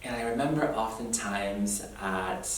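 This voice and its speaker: A young adult man talks through his past in a thoughtful, earnest way and often breaks into light laughter. His voice sits a little low and reaches a distant mic in a very echoey room with faint noise behind it. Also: a little slow. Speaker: oral history interviewee. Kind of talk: reflective personal oral history.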